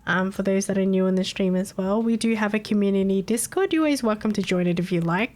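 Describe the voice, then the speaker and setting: A woman's voice: mid-range voice, fast talker, a little mellow. A woman streamer chatting casually late at night, a little nasal from a cold, rambling and laughing often. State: recovering from a cold, slightly nasal.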